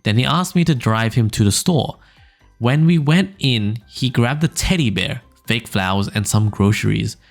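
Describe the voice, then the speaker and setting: A young man hosting a casual podcast, reading funny stories aloud in a smooth low voice, rambling with fillers and small laughs. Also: moderate pace. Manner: relaxed, rambling, frequent fillers and little laughs. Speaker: podcast host. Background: faint background music.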